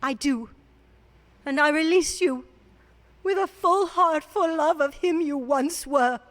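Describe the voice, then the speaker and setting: A young fiancee in a radio drama, sorrowful yet dignified. Her high voice is earnest and formal. A long reverb hangs behind it. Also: takes it slowly. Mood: sorrowful, resolute.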